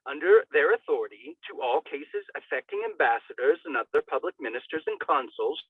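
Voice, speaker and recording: A man's voice: fairly high pitch, animated, a little fast, breathy edge, no room sound. A man giving a hammy, theatrical dramatic reading, stumbling over words and dropping in comic asides. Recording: thin phone-like band-limited sound.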